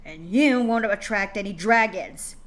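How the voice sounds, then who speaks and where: A woman voicing a cruel, abusive male pony father who speaks loudly with a gloating, growling edge. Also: mid-range voice, unhurried, natural voice.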